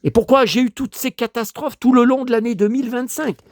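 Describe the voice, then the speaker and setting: An older man from France with a hoarse voice, grumbling and talking to himself as he shows his latest finds to viewers in a casual monologue. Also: very high voice, fairly quick, no room sound. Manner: grumbling, exasperated, talks to himself. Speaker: vlogger showing flea-market finds.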